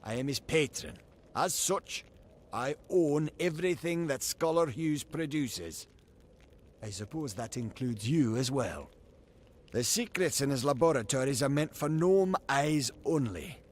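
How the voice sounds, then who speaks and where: An actor playing a haughty, scheming gnome patron in a fantasy video game, speaking in a pompous, measured, condescending way. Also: male voice, medium pitch, lively intonation. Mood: imperious.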